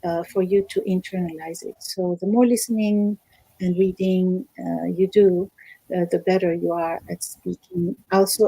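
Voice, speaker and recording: A woman, a language teacher, giving measured explanatory interview answers over a video call, with frequent ums. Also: fairly low pitch, mellow, slightly rough, dry recording, low-quality recording. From Algerian American, born in Morocco. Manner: measured, explanatory, many fillers.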